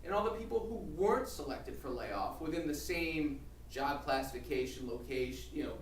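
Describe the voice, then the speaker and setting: A man's voice: medium pitch, expressive, normal speed. A man presenting at a seminar, talking conversationally with many restarts and fillers. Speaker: employment lawyer presenting a seminar. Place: seminar room. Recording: roomy, distant mic with faint room noise.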